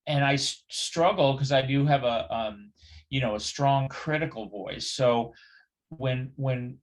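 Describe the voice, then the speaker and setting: A middle-aged man on a video call, asking a question with many pauses, "um"s and restarts. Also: medium pitch. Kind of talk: hesitant spoken question.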